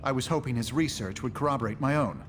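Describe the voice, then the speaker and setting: An actor playing an analytical, worried scientist superhero, speaking precisely in a game cutscene. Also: male voice, mid-range voice, quick pace, over music, lightly acted. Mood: explaining. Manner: precise, explanatory. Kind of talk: dramatic video game cutscene acting.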